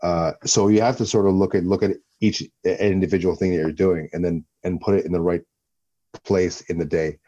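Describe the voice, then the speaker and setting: Brief: low, mellow-toned man, interview guest, loose and rambling, slightly dull audio. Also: fairly even intonation, brisk. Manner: rambling, repeats himself while thinking. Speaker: guest, actor and former fighter. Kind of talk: relaxed interview talk.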